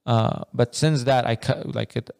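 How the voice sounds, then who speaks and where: A man's voice: medium pitch, fairly even intonation, measured pace, gravelly, darkish tone, dry recording, studio quality. A young man from Afghanistan, co-hosting a podcast in loose, rambling casual chat full of slang like bro and man. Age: young adult. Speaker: podcast co-host.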